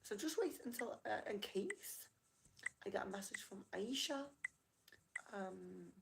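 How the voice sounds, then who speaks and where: Creaky-voiced woman on a livestream. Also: medium pitch, expressive, a little slow, background noise. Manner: apologetic, chatty, reads out viewer comments. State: losing her voice, slightly hoarse. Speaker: livestream rune reader. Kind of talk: casual livestream chat.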